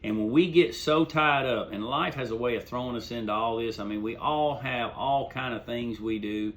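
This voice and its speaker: A man's voice: mid-range voice, normal speed, a bit bright, slight background noise. A middle-aged man giving an informal, folksy talk, telling stories and offering plainspoken advice, repeating phrases for emphasis. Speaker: host.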